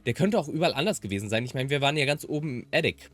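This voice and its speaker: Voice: mid-range voice, lively intonation, racing. A German native speaker giving casual gaming commentary, slipping between German and English. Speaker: let's player. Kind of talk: gaming commentary mixed with voiced read-aloud of dialogue.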